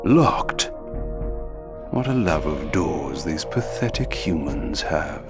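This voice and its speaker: A proud, cynical vampire nobleman in a video game. The voice sits low and moves slowly, with deliberate, sardonic menace. Loud music runs underneath. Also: animated, full character voice. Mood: brooding. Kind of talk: scripted theatrical game narration.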